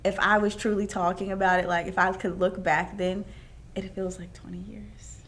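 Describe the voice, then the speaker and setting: Expressive woman in heartfelt conversation. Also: mid-range voice, moderate pace. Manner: animated, emphatic, laughs easily. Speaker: host interviewing her mother. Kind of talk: heartfelt faith-based conversation.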